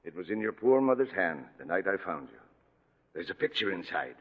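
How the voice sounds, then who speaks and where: Folksy old sea captain, muffled vintage radio. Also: male voice, low-pitched, very animated, fairly quick, exaggerated voice. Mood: tender, wistful. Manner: folksy seafaring dialect, mock-gruff and affectionate. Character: gruff, tender old lighthouse keeper sea captain raising a girl. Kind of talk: 1940s radio acting. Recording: dull vintage radio broadcast, long reverb.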